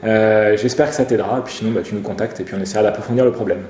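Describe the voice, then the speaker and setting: A man explains things quickly and informally in a dark, relaxed voice. He sounds like a casual show presenter, recorded in a roomy, echoing space. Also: fairly low pitch, slight background noise. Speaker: web show presenter and motorcycle gear retailer.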